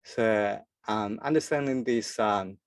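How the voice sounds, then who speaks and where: A man's voice: on the low side, slow, no echo. A man from Chile, giving a presentation as a freediving instructor and speaking hesitantly with many filler sounds. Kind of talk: forum presentation talk.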